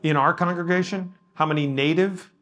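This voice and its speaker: A man's voice: fairly high pitch, steady tone, moderate pace, fairly bright tone. A man leading a workshop discussion as a pastor, talking casually and modestly, with frequent ums and restarts in front of a live audience.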